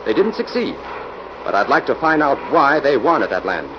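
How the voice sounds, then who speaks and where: A man's voice: medium pitch, big pitch swings, moderate pace, close to an everyday voice. A man playing a composed, determined investigator in an old-time radio drama. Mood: businesslike. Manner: composed, probing, businesslike. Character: calm, determined male investigator working with police. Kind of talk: 1940s radio acting. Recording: muffled, noisy old radio recording.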